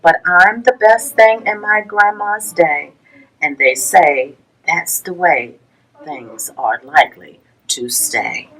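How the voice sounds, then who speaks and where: A woman reading a rhyming children's picture book aloud, with a measured sing-song delivery and pauses between phrases. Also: fairly low pitch, a bit bright, poor audio. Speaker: library storytime reader.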